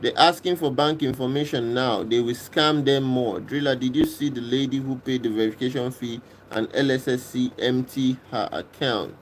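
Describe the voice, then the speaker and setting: A man from Kenya hosting a livestream, reading and answering viewers' comments in a casual, unhurried way and often repeating himself. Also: medium pitch, steady tone, slight background noise, rough recording. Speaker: livestream host reading chat. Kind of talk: casual live chat.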